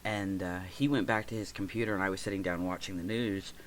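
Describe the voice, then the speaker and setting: A man in his forties from the United States, hosting a reflective personal talk, stuffy and congested, speaking haltingly with many fillers and restarts. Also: sits low, fairly even intonation, slight background noise. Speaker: podcast host.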